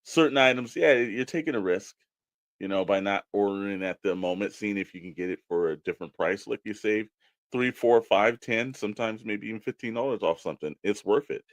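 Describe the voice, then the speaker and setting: A man chats as a podcast co-host in a loose, rambling style, handing out informal advice. His voice sits on the low side. The recording is dry, with hardly any room sound. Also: a little fast, no echo. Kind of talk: casual podcast chat.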